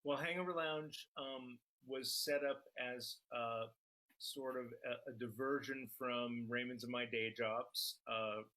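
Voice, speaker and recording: Bright-voiced middle-aged man, fairly even. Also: medium pitch, low-quality recording. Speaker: interview guest, producer. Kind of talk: conversational explaining.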